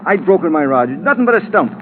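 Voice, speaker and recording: An actor playing a gabby, excitable, self-amused tourist in a 1950s radio comedy-drama scene. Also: male voice, on the high side, quick pace, phone-quality sound. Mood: jovial, chatty. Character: chatty, jovial, self-amused male tourist wit. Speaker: airline seatmate in a radio drama. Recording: noisy, thin vintage radio recording.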